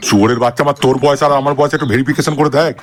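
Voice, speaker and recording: A man's voice: mid-range voice, steady tone, racing, slight background noise, close to an everyday voice. A man voicing an exasperated, foul-mouthed middle-aged brother-in-law in a comic cartoon, scolding and sarcastic.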